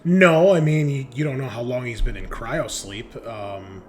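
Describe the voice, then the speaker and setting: A man improvising narration as game master of a tabletop roleplaying game, speaking conversationally and often pausing mid-sentence to think. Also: medium pitch.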